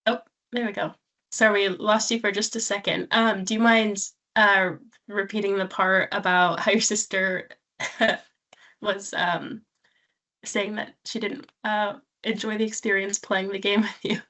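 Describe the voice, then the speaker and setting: A woman with a medium-pitched voice hosts an interview podcast from a video call. Her delivery is casual and conversational. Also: level tone, measured pace, sharp tone. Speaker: podcast host.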